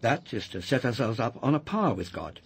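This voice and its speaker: An actor playing a kindly, devout clergyman in a radio drama, gently didactic and measured, counselling with firm conviction. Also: medium pitch, sing-song, slightly rough, heavily acted. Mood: firm, paternal.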